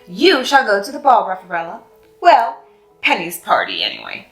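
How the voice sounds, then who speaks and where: A woman's voice: on the high side, expressive, moderate pace, fairly bright tone. A woman voicing a confident, bossy little girl in a storybook reading, speaking emphatically. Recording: echoey room with faint music.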